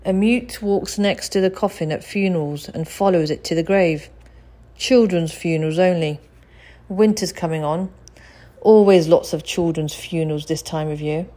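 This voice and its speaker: A woman's voice: lowish voice, moderate pace, dark tone, natural voice. Woman playing a gloomy, miserly undertaker man. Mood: matter-of-fact.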